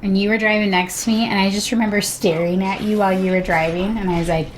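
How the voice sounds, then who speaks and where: A woman's voice: mid-range voice, fairly even intonation, quick pace. A woman with a mid-range voice chats casually as a stream guest. She tells everyday personal stories, and faint noise sits behind her. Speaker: guest on sibling's stream, mother. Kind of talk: casual chat, personal anecdotes.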